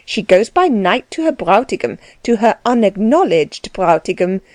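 A woman's voice: mid-range voice, sing-song, normal speed, darkish tone, no room sound, natural voice. A woman voicing a stern, disapproving German farm manager's wife in an audiobook, stiff and formal. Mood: scornful.

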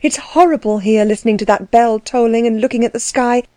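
A woman voicing a passionate, impulsive young gentlewoman in an audiobook, exclaiming and repeating herself urgently. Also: a little high, fairly quick, no room sound, voice pushed up, lightly acted. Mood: distressed, helpless.

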